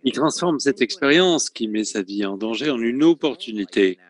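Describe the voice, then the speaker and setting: A man giving a French voice-over of a conference talk, speaking in a measured way with frequent hesitations and self-corrections. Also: mid-range voice, animated.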